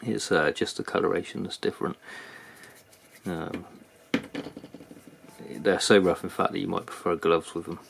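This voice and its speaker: A man with a British accent giving a casual, unscripted product review in a halting manner. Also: deep voice, fairly even intonation, gravelly, background noise. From United Kingdom. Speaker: knife reviewer. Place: indoors at a workbench.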